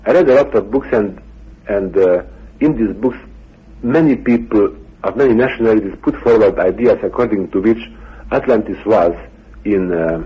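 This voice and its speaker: A man with a husky voice conducting a radio interview, putting his questions in deliberate, halting phrases. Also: fairly low pitch. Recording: dull, muffled radio recording.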